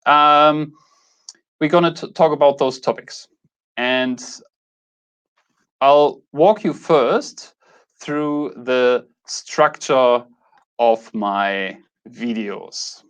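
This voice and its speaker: A man's voice: mid-range voice, very slow, long pauses, fairly bright tone, smooth. A man in his mid-thirties with a German accent, talking casually to camera as a YouTube host, a little hesitant and correcting himself as he goes. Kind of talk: casual talk to camera, introducing a channel.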